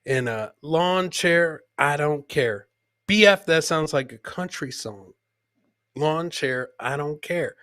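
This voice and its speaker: A man's voice: a little high, lively intonation, slow, fairly bright tone, no room sound, clean studio sound. A man hosting a casual comedy show, commenting on clips and often repeating phrases for emphasis. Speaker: podcast host.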